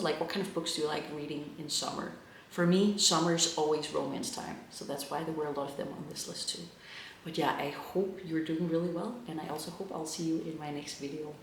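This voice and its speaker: A man's voice: on the high side, vocal fry, fairly bright tone. A man from Denmark with a Danish accent, chatting enthusiastically as he reviews books in a casual vlog. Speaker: book vlogger. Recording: echoey room with faint ambient noise.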